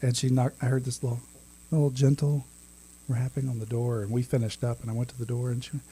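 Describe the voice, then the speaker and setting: A man's voice: mid-range voice, little pitch movement, quick pace, warm tone, slight background noise, poor audio. A man in his thirties co-hosting a podcast, chatting casually, laughing often and restarting his sentences. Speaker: podcast co-host. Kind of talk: casual chat.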